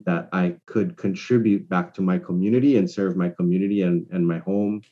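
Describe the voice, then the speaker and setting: A man, speaking as a guest in a measured, reflective way over a remote call. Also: deep voice, steady tone, highs cut off. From Hawaii. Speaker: guest entrepreneur. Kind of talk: casual conversation.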